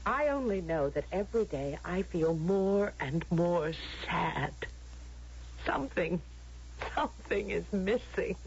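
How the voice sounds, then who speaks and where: An actress playing a sad, defiant young woman held captive, speaking in a pleading, halting way in an old-time radio drama. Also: lowish voice, expressive, a little mellow, breathy edge, exaggerated voice. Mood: sad, stubborn. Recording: dull, lo-fi radio drama recording.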